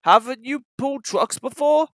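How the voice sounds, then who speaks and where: A reader as a stern, bright-voiced railway director. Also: high-pitched, expressive, normal speed, no echo, clean studio sound, voice pushed up. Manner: stern, instructive.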